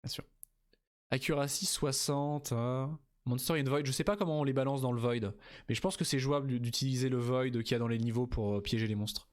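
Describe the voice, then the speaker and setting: Tired, rambling young man streaming a game. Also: medium pitch. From Normandy, France. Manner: rambling, chatty, reads chat aloud, reads game text haltingly. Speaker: let's play streamer. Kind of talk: casual chat while streaming a game.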